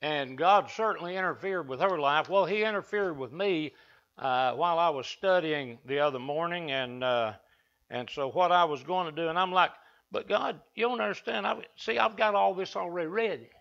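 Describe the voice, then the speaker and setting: A man's voice: a little high, moderate pace, creaky, fairly bright tone. An older man with an Appalachian accent, preaching a folksy sermon to his congregation in a wood-panelled church. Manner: down-home, talks to the congregation and asks them back. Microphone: lectern mic from a far camera. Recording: dull lo-fi church recording.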